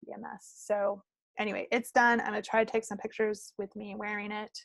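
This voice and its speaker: Female podcast co-host, casual and rambling, with plenty of vocal fry and a dry, close sound. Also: medium pitch, little pitch movement, a little fast, a bit bright, no room sound. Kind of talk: casual chat about projects. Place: recorded at own end of a remote video call.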